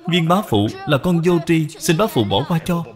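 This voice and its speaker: Casting: male actor as bright, polite young cartoon boy, respectful and lilting, music behind him. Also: fairly high pitch, expressive, normal speed, close to an everyday voice. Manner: respectful, formal. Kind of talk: dubbed children's moral cartoon. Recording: long hall reverb, music behind.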